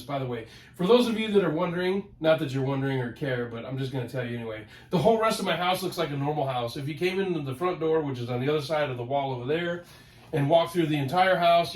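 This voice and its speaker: Nasal, excitable man in a roomy office. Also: fairly high pitch. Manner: excitable, rambling, repeats words. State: nasal from a head cold. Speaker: collector vlog host. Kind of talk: casual rambling vlog talk. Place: home office, ten-foot ceiling. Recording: roomy home office, mic at a distance.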